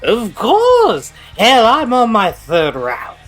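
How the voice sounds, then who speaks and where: Male actor as tipsy young partygoer, eager, hesitant rambling, lively intonation, music behind, audio drama. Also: extremely high pitch, slow pace, full character voice. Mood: proud, rambling. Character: really drunk, eager college-age male partygoer explaining games.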